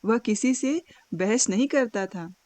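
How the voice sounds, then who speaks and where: A woman narrating a scripted moral story for a cartoon, with dramatic, deliberate phrasing and pauses. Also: fairly high pitch, expressive, a little mellow, no room sound.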